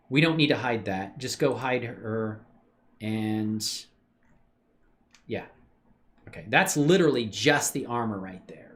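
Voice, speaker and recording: A man's voice: mid-range voice, fairly bright tone. A man hosting a casual livestream, chatting with viewers in a laid-back, slangy, appreciative way and thinking aloud as he works. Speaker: livestream host showing 3D animation work. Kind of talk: casual chat with live viewers.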